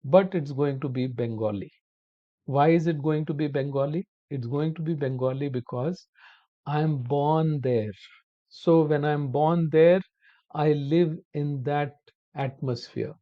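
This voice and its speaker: A man teaching a spoken-English lesson to viewers, talking slowly and deliberately and calling them his dear friends. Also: fairly high pitch. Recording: dull lo-fi audio.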